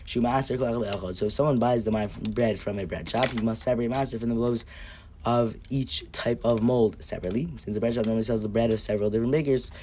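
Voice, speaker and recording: A hoarse young man hosting an upbeat daily Torah lesson podcast, cheerful and stumbling now and then over Hebrew terms. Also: medium pitch, fairly even intonation, fast talker, darkish tone, a little hiss or hum. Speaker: podcast host teaching Mishnah.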